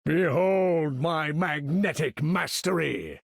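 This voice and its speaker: A man's voice: on the high side, takes it slowly, creaky, no room sound, studio quality, full character voice. A man voicing a proud, imperious older mutant master of magnetism in a video game, speaking in a grandiose, formal, commanding way. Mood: commanding, triumphant. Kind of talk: theatrical game character voice lines.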